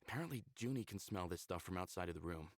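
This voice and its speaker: A man's voice: deep voice, animated, fast, dry recording, clean studio sound. A man playing an easygoing, easily flustered young lover in a visual novel, casual and sheepish. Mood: casual, curious. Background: game music.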